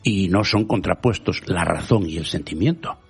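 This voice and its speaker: A man whose first language is Galician, hosting a radio show with a measured, rhetorical scripted editorial. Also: sits low, breathy edge, soft background music. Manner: measured, rhetorical, quotes proverbs and thinkers.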